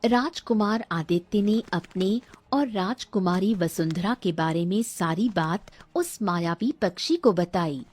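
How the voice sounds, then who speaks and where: A woman's voice: medium pitch, measured pace, hardly any pauses, darkish tone, no echo. A woman storyteller giving scripted narration of a fairy tale.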